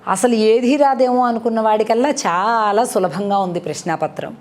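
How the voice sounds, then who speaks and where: A woman tells a moral story as a devotional storyteller, in an expressive, conversational way with rhetorical questions. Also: on the high side, normal speed. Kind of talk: animated moral storytelling to an audience.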